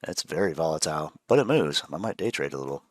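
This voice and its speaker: A man with a head cold, sniffling a little as he walks through stock charts in a relaxed, rambling way with plenty of fillers. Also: a bass voice, fast, no echo, studio quality. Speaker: show host, trader. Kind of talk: casual chart analysis chat.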